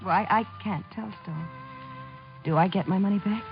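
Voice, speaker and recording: A woman's voice: medium pitch, normal speed, creaky, lightly acted. An actress playing a bold, lovestruck female reporter, teasing and ardent, in a 1950s radio drama. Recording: muffled 1950s radio broadcast, music behind.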